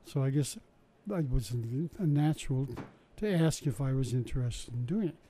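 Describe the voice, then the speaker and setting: Older man with a very dark, mellow voice. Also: medium pitch, animated, moderate pace. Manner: chatty, wry, lots of restarts and asides. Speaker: interviewee recalling his science show work. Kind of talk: casual oral-history storytelling.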